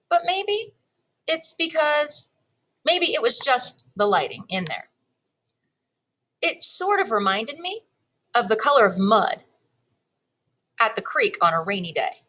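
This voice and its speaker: A woman reading a children's chapter book aloud in an expressive first-person storytelling style, leaning on key words. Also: fairly high pitch. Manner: animated, storytelling delivery with deliberate emphasis.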